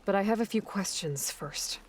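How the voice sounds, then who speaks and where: A woman plays a young video game heroine, determined and guarded. Her mid-pitched voice is direct, plain-spoken and self-assured, with faint ambient noise behind it. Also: moderate pace, lightly acted. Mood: resolute, dry. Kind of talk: video game cutscene acting.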